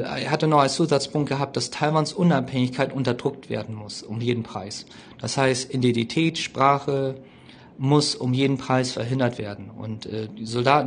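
Creaky-voiced man explaining history informally. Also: medium pitch, slight background noise. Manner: colloquial, opinionated, halting with frequent hesitations.

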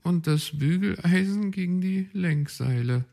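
High astonished owl guy, loud, excitable, storybook, voiced by a man. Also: measured pace, creaky. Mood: amazed. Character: excitable male owl, amazed.

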